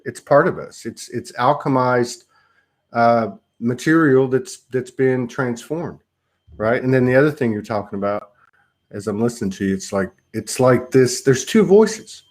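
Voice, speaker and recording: A man's voice: mid-range voice, expressive, unhurried, a little mellow. A man hosting a spiritual conversation, musing aloud in a rambling way with many repeats. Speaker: host.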